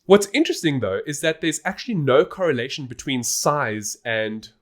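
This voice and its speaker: A man's voice: medium pitch, sing-song, moderate pace. A man hosting a podcast, explaining things in a casual, informal and emphatic way. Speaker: podcast host.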